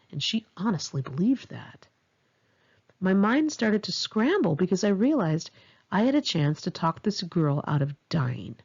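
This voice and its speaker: American female memoir narrator, lively pitch movement, gravelly edge, confessional delivery, slightly dull home recording. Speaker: author reading own memoir. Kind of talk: audiobook narration of a first-person memoir.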